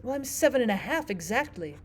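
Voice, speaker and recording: A woman's voice: on the high side, sing-song, a little fast. A woman voicing an earnest, polite seven-year-old storybook girl with a questioning manner.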